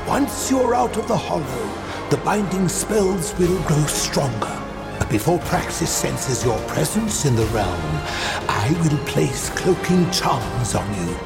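Theatrical ancient warlock, sweeping pitch. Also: male voice, big pitch swings, gravelly, loud music behind the voice, full character voice. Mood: urgent, hopeful. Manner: theatrical, portentous, deliberate. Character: ancient male warlock, grave mentor and storyteller. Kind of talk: dramatic audio drama storytelling.

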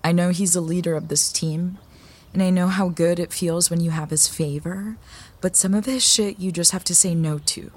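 A woman's voice: fairly low pitch, steady tone, normal speed, a little mellow, husky. An actress playing a principled young crew coxswain in an audio drama, a woman with a direct, insistent manner. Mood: firm, concerned. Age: young adult.

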